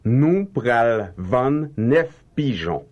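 A man reading slow, carefully enunciated translations in a language drill. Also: mid-range voice, sing-song, highs cut off. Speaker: language teacher.

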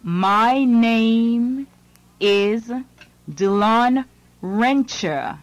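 A woman reads English lines for a language course, very slowly and carefully. Each phrase is set apart for learners to follow. The recording is dry and close, with no room sound. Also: on the high side, no echo. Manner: slow, deliberate, phrase by phrase. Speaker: language lesson narrator. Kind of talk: scripted lesson reading.

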